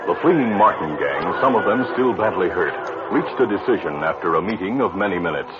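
A man's voice: sits low, expressive, fairly quick, over loud music. A man narrating a scripted western radio drama in an old-time broadcast style. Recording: muffled, very noisy old radio recording.

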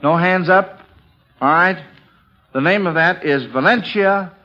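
Bright, high-voiced man hosting old radio quiz. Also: unhurried. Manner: avuncular, coaxing children along, repeats himself. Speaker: quiz show host. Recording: dull, band-limited vintage radio recording.

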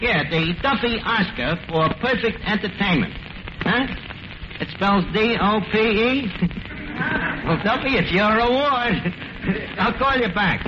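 An actor playing a brash, slangy New York tavern manager who bluffs with mangled grand words in a 1940s radio comedy. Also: male voice, sits high, expressive, takes it slowly, long pauses. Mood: pompous, bluffing. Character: brash, fast-talking tavern manager full of big schemes. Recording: noisy, muffled 1940s radio broadcast.

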